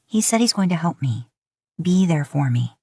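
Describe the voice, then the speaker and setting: A woman voicing a kind, reassuring young woman in an audiobook story, soft-hearted and putting others first. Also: lowish voice, lively intonation, moderate pace, gravelly, breathy edge, no room sound, clean studio sound, exaggerated voice.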